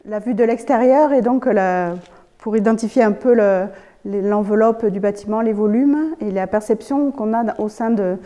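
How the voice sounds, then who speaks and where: A woman interviewing on an unscripted guided visit, phrasing things in a tentative, halting way. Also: mid-range voice, warm tone, dry recording.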